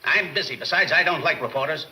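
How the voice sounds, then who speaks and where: An actor playing a gruff, impatient police chief with a brusque, clipped, authoritative manner in a 1940s film serial. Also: male voice, on the high side, fast, pushed and bright, poor audio. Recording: old 1940s film soundtrack, faint noise.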